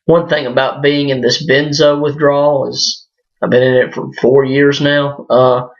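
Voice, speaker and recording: A hoarse man still recovering from a sinus illness, talking through a casual personal vlog with lots of fillers and restarts. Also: mid-range voice, flat delivery. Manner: halting, rambling, many fillers and restarts. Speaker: vlogger. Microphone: built-in device mic, no external microphone. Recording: dull lo-fi built-in mic.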